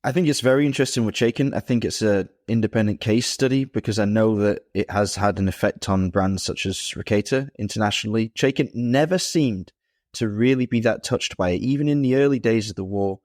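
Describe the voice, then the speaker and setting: Low-voiced man co-hosting a chat. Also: fairly quick, a little mellow, dry recording, clean studio sound. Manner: enthusiastic, fluent explainer. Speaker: podcast co-host. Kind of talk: casual chat.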